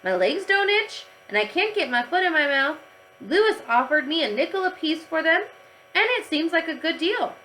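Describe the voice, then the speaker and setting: A woman's voice: high voice, animated, normal speed, sharp tone, a little hiss or hum, voice pushed up, rough recording. A woman voicing an earnest, stubborn young schoolgirl in a children's storybook. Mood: reasoning. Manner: earnest, insistent.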